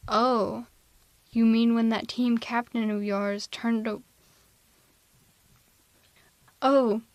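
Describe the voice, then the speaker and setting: A woman playing a haughty, sardonic telepathic villain in an ASMR roleplay audio drama, theatrical and quick to justify herself. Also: fairly high pitch, no room sound, natural voice. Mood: defensive, dismissive. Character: female telepathic villain, haughty, misanthropic, secretly soft-hearted. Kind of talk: ASMR roleplay audio drama, close one-sided dialogue.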